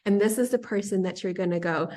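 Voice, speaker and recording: A woman in her thirties, a yoga teacher interviewing a friend, telling stories in a casual, animated way and often laughing. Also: medium pitch, fast talker. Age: 30s. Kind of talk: casual conversational storytelling.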